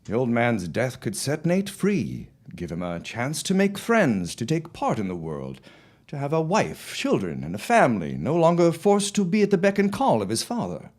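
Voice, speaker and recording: A man acting a confident, dismissive farmer husband of the early 1900s in an audio drama, with formal, deliberate phrasing. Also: mid-range voice, wildly swooping pitch, a little mellow. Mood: wry, reflective.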